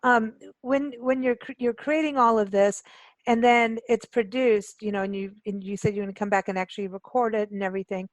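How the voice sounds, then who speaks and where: A woman's voice: medium pitch, steady tone, a little fast, no echo, low-quality recording. A woman hosting a casual radio interview, chatting informally with loose, rambling questions. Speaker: radio show host.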